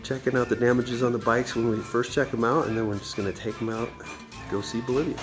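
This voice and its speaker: A man's voice: medium pitch, steady tone, fast talker, over music. A man leading a motorcycle tour, narrating his travel video in an easygoing, joking way, recorded outdoors. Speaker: tour leader filming the trip.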